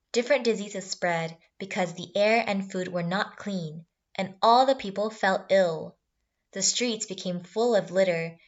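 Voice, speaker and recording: A woman reading a scripted fairy tale for children as a storyteller. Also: mid-range voice. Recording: slightly dull sound, music bed.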